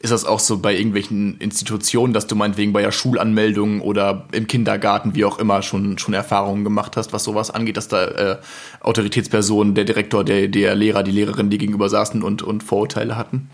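A man hosting a podcast, calmly asking an interview guest long, searching questions. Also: lowish voice, fast. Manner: calm, searching questions in long winding sentences. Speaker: podcast host.

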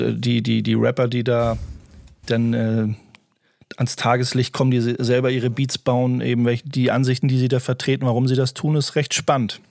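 A man's voice: medium pitch, gravelly, darkish tone, dry recording, studio quality. A man, a DJ and producer, co-hosting a casual podcast and rambling through his points with many restarts. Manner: rambling, restarts sentences often, laughs easily. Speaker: DJ and music producer, co-host.

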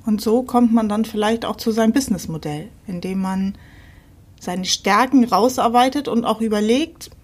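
A woman's voice: a little high, moderate pace, a little mellow. A woman co-hosting a podcast in a casual chat, speaking in an informal, colloquial way. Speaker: podcast co-host.

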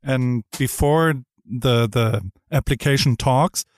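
A man's voice: medium pitch, slow, no echo, clean studio sound. A middle-aged man hosting a casual interview, talking eagerly in rambling sentences with many restarts. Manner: enthusiastic, rambling with frequent restarts. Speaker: podcast host.

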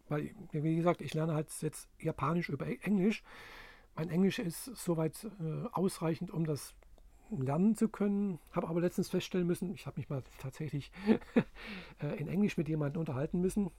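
A man talks in a loose, rambling way, stopping often to hunt for words. His voice sits a little high but sounds warm and mellow. The recording is close and dry. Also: no echo. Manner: rambling, halting, many fillers. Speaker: language learner. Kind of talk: casual spoken monologue.

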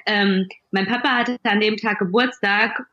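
A woman's voice: medium pitch, steady tone, measured pace, pushed and bright, muffled recording. A woman from the Duisburg area of western Germany, in her thirties, chatting casually and telling personal anecdotes as a podcast guest.

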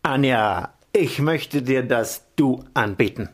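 An actor playing a cynical, scheming middle-aged intelligence official in a radio play, smooth and insinuating. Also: male voice, medium pitch, slow. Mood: smooth coaxing. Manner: insinuating, falsely cordial. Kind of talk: German radio play acting.

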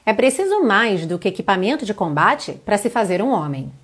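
A woman from Brazil teaching an online English lesson, reading lyrics slowly and carefully and then translating them. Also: mid-range voice, very animated, breathy edge.

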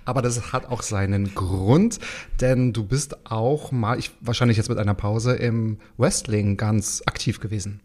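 A man's voice: lowish voice, measured pace, darkish tone. A man in his thirties hosting a podcast interview, chatting casually with playful, joking banter. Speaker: podcast host.

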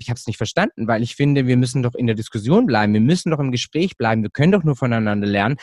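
A man from Germany hosting a casual conversation, animated and full of restarts. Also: medium pitch, no echo, studio quality.

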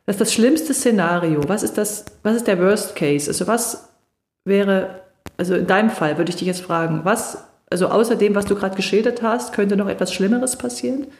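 A middle-aged woman coaching on voice and stage fright, explaining calmly and reassuringly in a podcast conversation. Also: medium pitch, moderate pace. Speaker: voice and charisma coach. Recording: echoey room.

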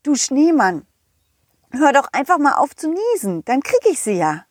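A woman's voice: high-pitched, very animated, moderate pace, no room sound, clean studio sound. A woman voicing a confident, loyal dog in a children's audio play, encouraging and reassuring, always offering a solution. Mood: patient, encouraging. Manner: encouraging, reassuring problem-solver.

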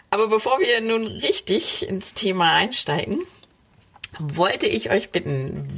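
A woman hosting a podcast in casual, chatty conversation, with a husky and slightly creaky voice. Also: on the low side. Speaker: podcast host.

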